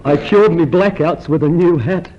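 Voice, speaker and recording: A man acts a chirpy hypochondriac from an old radio comedy. His voice sits high, and he is earnestly proud of every ailment. The old recording has a clear layer of noise. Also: normal speed, lo-fi sound, natural voice. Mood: self-pitying, proud. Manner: earnestly boastful about being ill. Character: chirpy hypochondriac male customer who relishes his ailments.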